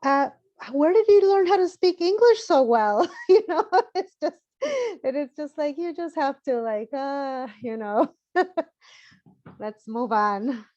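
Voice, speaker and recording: A woman from the United States whose first language is Spanish, chatting on a panel in a giggly, animated way. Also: high voice, slow pace, dull audio. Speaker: panelist, architect. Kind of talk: casual panel chat.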